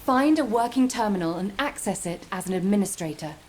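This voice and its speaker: An actress voicing a weary but firm squad commander in a video game, authoritative and wry. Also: female voice, medium pitch, lively intonation, a little fast, slight background noise, close to an everyday voice. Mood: commanding.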